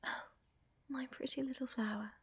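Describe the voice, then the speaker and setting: A woman playing a tender, seductive vampire countess in an intimate ASMR roleplay, speaking softly and often in whispers, with refined affection. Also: high-pitched, fairly even intonation, slight background noise. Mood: tender, reassuring. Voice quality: soft, breathy, often whispering. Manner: slow, refined, affectionate, gently dominant. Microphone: close, dry microphone.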